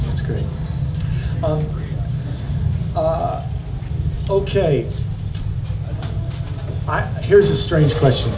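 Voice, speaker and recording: A middle-aged man moderating a panel, halting and self-deprecating, with many fillers. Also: on the high side, expressive, vocal fry. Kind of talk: panel discussion. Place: crowded covered outdoor pavilion. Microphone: stand mic, off-mic at times. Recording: roomy, distant, noisy crowd room.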